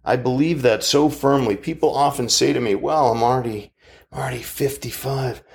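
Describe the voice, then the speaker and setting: A man in his fifties giving a slow, earnest, reflective motivational talk with measured pauses. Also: medium pitch, vocal fry. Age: 50s.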